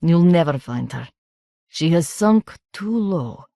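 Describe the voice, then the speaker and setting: A theatrical baroness speaks in a coarse, guttural country voice, loud and commanding, as a woman narrator puts her on. She sounds rough and a little menacing. The storybook reading is dry and close. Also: sits low, big pitch swings, takes it slowly, dry recording, exaggerated voice. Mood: scornful.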